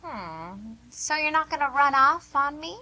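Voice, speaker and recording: A woman's voice: high voice, big pitch swings, measured pace, sharp tone, full character voice. A woman playing a blunt, teasing blind date in radio theatre, putting on an odd, curt voice. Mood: curt, guarded. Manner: putting on a weird voice, curt, dry. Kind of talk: amateur radio theatre acting.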